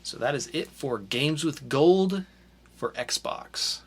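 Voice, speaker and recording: A man co-hosting a casual podcast, opening with a hyped intro and then chatting relaxed through the news. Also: fairly high pitch, slow pace, slightly rough. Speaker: podcast co-host.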